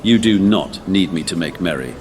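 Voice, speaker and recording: A man voicing a calm, persuasive warrior monk with measured authority in an audiobook. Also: deep voice, faint music behind. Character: calm, commanding male space-fantasy warrior monk.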